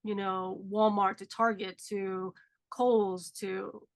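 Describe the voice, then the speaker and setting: A woman's voice: mid-range voice, little pitch movement, takes it slowly. A woman in her forties hosting a podcast, chatty and filler-heavy, sounding nasal from a cold. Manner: chatty, lots of "like" and "um". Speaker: podcast host. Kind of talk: casual chat.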